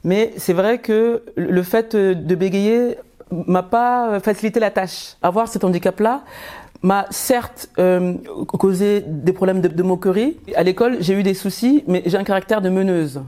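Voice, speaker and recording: A woman in her forties from Cameroon who stutters, telling her life story in a podcast with halting, repeated words. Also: mid-range voice, mellow. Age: 40s. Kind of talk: personal testimony podcast.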